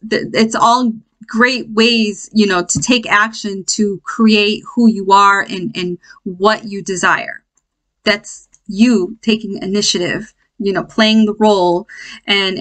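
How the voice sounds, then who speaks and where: A woman hosting an informal spiritual self-help lesson, speaking off the cuff in a halting, conversational way and checking in with listeners. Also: medium pitch, fairly bright tone.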